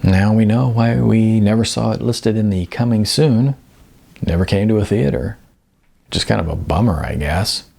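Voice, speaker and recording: A man's voice: fairly low pitch, moderate pace, gravelly, darkish tone, husky. A man voicing an eager, unsure young husband who stammers in an audiobook. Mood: deflated. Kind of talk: audiobook character voice.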